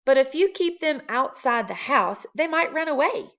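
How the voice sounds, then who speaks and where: A woman's voice: high voice, lively intonation, moderate pace, a bit bright, voice pushed up. Lady doing a fretful practical storybook housewife, bit skeptical and exasperated, kinda muffled audio. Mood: fretting.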